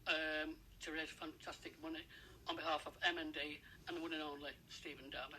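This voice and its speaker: Bright, sharp-voiced man being interviewed. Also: a little high, flat delivery, fairly quick, low-quality recording. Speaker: interview guest, charity fundraiser. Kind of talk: informal radio interview answers. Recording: radio interview with faint background noise.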